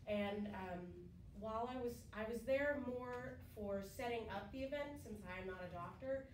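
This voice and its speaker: A young woman presents a class speech, halting and filling pauses with ums. She is a bit under the weather, so her voice sits lower than usual. The sound is picked up from the back of a classroom, roomy and hissy. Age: young adult. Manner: hesitant, many ums and restarts. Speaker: student giving a class speech. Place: classroom with conference tables, indoors. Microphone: far camera at the back of the room. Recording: roomy, distant camera audio with background hiss.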